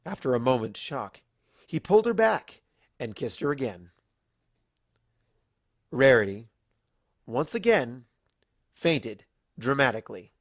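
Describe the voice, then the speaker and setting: A woman's voice: extremely low pitch, very animated, a little slow, long pauses, dry recording, clean studio sound. A woman narrating a comic story as a reader, in a storytelling style. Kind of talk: lively narration of a comic fan fiction story.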